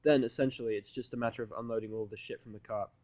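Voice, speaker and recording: A man reading first-person fan fiction as an audiodrama in a sardonic, grumbling, deadpan manner. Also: fairly low pitch, very fast, dry recording. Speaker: audiodrama reader.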